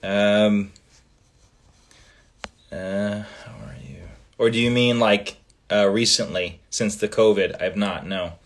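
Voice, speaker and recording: Canadian guy, italian first language, deep voice, laid back live chat with lots of ums. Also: slow pace, long pauses. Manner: relaxed, trailing off with frequent ums. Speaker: livestream host. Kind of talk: casual livestream chat reading fan comments.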